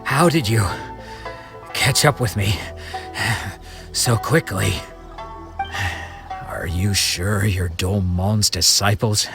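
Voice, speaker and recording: An actor playing a proud, reserved middle-aged swordsman in a video game, speaking in a measured, solemn, formal way. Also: lowish voice. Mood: surprised. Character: proud, reserved male swordsman, former senior disciple. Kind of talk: video game cutscene acting. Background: music.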